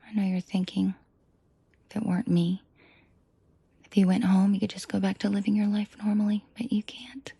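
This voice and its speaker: A woman playing a commanding, protective and seductive mafia boss in an intimate audio roleplay, speaking in a breathy, controlled murmur that often drops to a half-whisper. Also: mid-range voice, flat delivery, dark tone, dry recording. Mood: firm, protective. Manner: slow, deliberate, controlled murmur addressed closely to listener.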